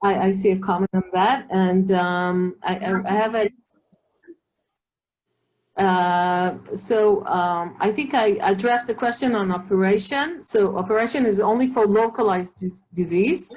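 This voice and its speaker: A woman's voice: medium pitch, unhurried, a little mellow, low-quality recording. A middle-aged woman oncologist with an Israeli accent, answering questions in an online talk, hesitant with many fillers and restarts. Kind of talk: expert webinar answering questions.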